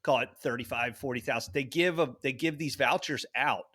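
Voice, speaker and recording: A man with a bright, forceful voice and a medium pitch co-hosts a podcast. He tells stories casually, rambling along and doubling back on his words as he goes. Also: fairly quick, husky. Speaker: podcast co-host. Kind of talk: casual banter and storytelling.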